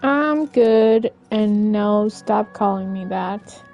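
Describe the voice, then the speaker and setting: A woman's voice: mid-range voice, slow, lo-fi sound, soft background music. A woman streaming a video game, casually commenting and dryly talking back to the characters.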